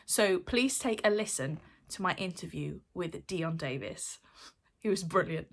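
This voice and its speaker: A woman with a slightly low voice introduces an interview as its host. She speaks straight to her audience in a gushing, emphatic way. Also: expressive, a little slow. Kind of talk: friendly addressed introduction to viewers.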